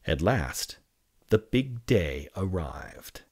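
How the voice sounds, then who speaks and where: A man reading a children's story aloud with expressive, deliberate and dramatic phrasing. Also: deep voice, breathy edge. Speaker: storybook reader.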